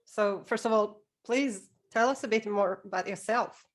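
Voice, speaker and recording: A woman's voice: medium pitch, normal speed. A woman hosting a casual podcast interview in an encouraging, effusive way.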